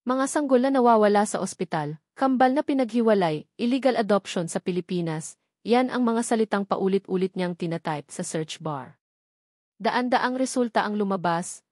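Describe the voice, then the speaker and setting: A Filipino woman narrates a scripted romantic drama as a storyteller. Her voice sits at a middle pitch for a woman, recorded close and dry with no room sound. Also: a little mellow, no room sound.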